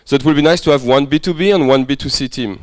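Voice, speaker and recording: A man's voice: mid-range voice, quick pace, no room sound, clean studio sound. A man with a French accent leading a business workshop, talking casually and often checking that the group follows. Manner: friendly, conversational, checks understanding often. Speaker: workshop lecturer. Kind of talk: interactive workshop teaching. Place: workshop room.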